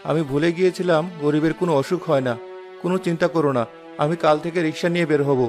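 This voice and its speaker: A humble, ailing rickshaw-puller father , acted by a man, in a cartoon drama. He talks quickly and earnestly in a slightly high voice, with faint music underneath. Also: steady tone, close to an everyday voice. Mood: concerned.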